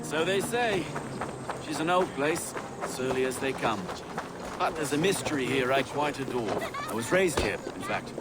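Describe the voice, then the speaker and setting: A man's voice: medium pitch, expressive, moderate pace, heavy background noise, close to an everyday voice. A man playing an affable, dutiful medieval London reeve in a video game. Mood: affable, wry. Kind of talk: video game cutscene acting.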